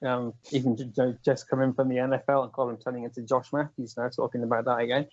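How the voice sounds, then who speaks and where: A man's voice: medium pitch, level tone, quick pace, darkish tone, no room sound. A British man co-hosting a casual wrestling chat, stammering and repeating words as he talks. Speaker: podcast co-host. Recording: dry, dull lo-fi sound.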